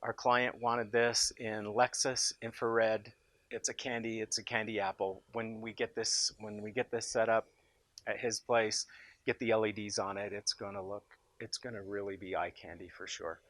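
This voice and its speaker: An American man giving an informal, unscripted product pitch as a company boss, speaking with enthusiasm and emphasis. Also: level tone, normal speed, fairly bright tone, no echo. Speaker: company founder presenting his loudspeaker in a product demo.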